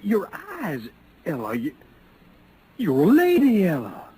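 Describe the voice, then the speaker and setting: An old radio western recording with faint noise. a smitten young American cowboy, his high voice swinging wildly. He is earnest and bumbling, stammering in a folksy drawl. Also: huge pitch swings, slow pace, mellow, exaggerated voice. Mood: fierce devotion. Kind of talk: 1950s radio western acting.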